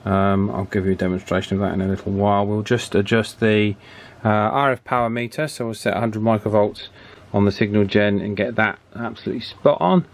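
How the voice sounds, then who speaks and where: A man giving a casual, chatty hands-on repair commentary in an unhurried way, as if thinking aloud. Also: on the low side, fairly even intonation. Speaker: radio repair hobbyist presenting his work.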